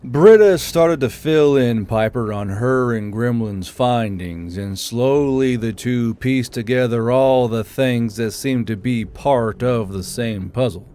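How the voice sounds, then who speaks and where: A man's voice: mid-range voice, takes it slowly, few pauses, dark tone, clean tone. A man reading scripted audiobook fiction as its narrator. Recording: music under the voice.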